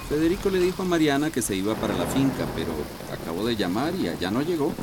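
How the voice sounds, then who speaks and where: An actor playing a proud, brusque landowner in a Central American Spanish radio soap opera. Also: male voice, mid-range voice, very animated, quick pace, lightly acted. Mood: brusque, worried. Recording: radio drama with loud rainstorm noise.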